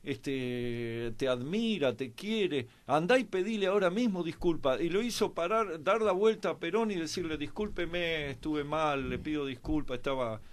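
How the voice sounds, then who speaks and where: A man with an Argentine accent telling anecdotes conversationally as a guest commentator, halting often with pauses and filler words. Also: a little high, animated. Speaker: guest commentator on a history and politics programme.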